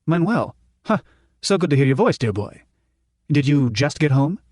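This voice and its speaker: A man voicing an older male professor, gentle and courtly, who speaks with halting, fussy politeness. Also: a little high, very animated, dry recording, clean studio sound. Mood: solicitous. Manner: warm, halting, fussy old-world politeness.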